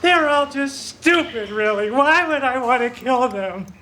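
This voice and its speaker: An actor playing a detective's sidekick who turns cold and menacing, in a radio play. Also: male voice, extremely high pitch, lively intonation, unhurried, background noise. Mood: scornful. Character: Dawson, male detective's sidekick turned sinister.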